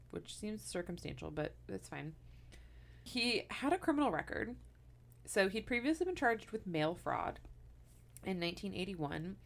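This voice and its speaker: This is a chatty woman in her thirties recounting a true-crime case on a podcast. Her voice sits a little low and rises and falls lively. She often laughs and drifts into side comments. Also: vocal fry. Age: 30s. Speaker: co-host telling the story.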